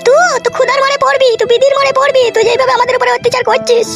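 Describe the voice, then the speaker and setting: A woman voicing a bitter, scheming village wife and mother, bossy and sharp-tongued, in a comic cartoon. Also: extremely high pitch, flat delivery, very fast, over music. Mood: bitter scolding.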